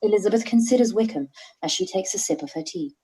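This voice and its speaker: A woman's voice: medium pitch, fast talker, warm tone, poor audio. A woman reading stage directions aloud in a plain, matter-of-fact way for a live-read radio play.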